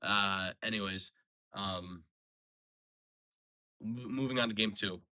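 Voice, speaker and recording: A young man giving a casual, rambling solo sports recap, a little hoarse from a sore throat and full of fillers and restarts. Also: fairly low pitch, slow pace, pushed and bright, low-quality recording. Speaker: sports podcast host.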